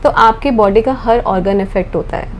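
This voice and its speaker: A woman doctor calmly explaining medical points in a podcast conversation, mixing Hindi with English terms. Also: on the high side, normal speed, a little hiss or hum. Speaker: doctor answering questions.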